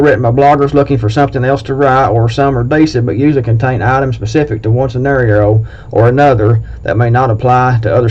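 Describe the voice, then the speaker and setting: A man's voice: medium pitch, darkish tone. Blunt Appalachian man, lo-fi. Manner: blunt and plain-spoken, rambling with restarts. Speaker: prepping video host. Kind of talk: casual talk to camera, reading a list. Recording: dull lo-fi recording.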